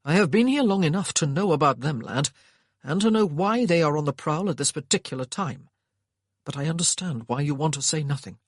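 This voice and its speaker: A narrator voicing an English gentleman who speaks calmly and reassuringly to strangers. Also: mid-range voice, fairly quick, no room sound, studio quality, lightly acted.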